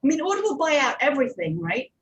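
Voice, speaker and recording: A woman's voice: high-pitched, very animated, fast, muffled recording. A woman talking informally as a panelist and producer in a discussion. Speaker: panelist, audio drama producer and coach.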